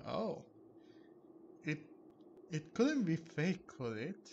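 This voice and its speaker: A man doing casual gameplay commentary and reading dialogue aloud, with a hesitant, halting delivery. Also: fairly high pitch, very animated, vocal fry, darkish tone, muffled recording, a little hiss or hum. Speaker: gamer playing through a story game and reading out dialogue. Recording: music playing behind the voice. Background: game music.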